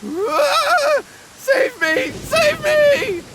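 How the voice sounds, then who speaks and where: A man's voice: very high voice, very animated, drawn-out words, heavy background noise, exaggerated voice. A man voicing an anxious, earnest and deferential young man in an anime game. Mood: terrified. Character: timid, anxious young man, apologetic younger-sounding brother.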